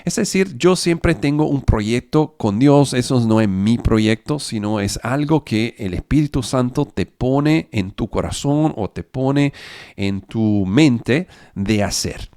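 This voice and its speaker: A man preaching in Spanish, which is not his first language, speaking haltingly and often correcting himself. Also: mid-range voice, lively intonation, warm tone, no echo, studio quality. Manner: halting, with fillers and self-corrections. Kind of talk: online sermon.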